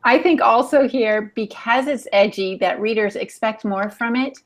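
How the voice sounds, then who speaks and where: A woman co-hosting a casual podcast discussion, explaining her points in a measured way. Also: on the high side. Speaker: podcast co-host.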